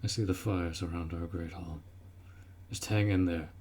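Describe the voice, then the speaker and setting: A man playing a gruff, dutiful orc warrior in a fantasy roleplay audio drama, blunt and protective as he speaks to the listener. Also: sits low, fairly even intonation, moderate pace, darkish tone. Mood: urgent, commanding.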